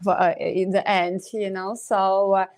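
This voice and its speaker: A woman's voice: mid-range voice, little pitch movement, measured pace, darkish tone. A woman in her fifties with a Ukrainian accent, a dentist guest who hesitates and searches for English words.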